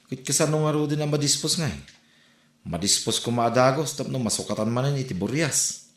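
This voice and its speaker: A man's voice: mid-range voice, expressive, a little fast, natural voice. A man with a Filipino accent playing a mild, hesitant pig-farming husband in an audio drama. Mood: worried. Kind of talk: amateur audio drama dialogue. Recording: roomy echo.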